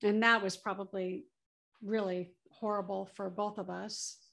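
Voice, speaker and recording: A woman's voice: medium pitch, little pitch movement, unhurried, gravelly, a bit bright. A woman from Southern California, a guest in an interview, talking candidly and chattily with many fillers. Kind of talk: casual interview chat.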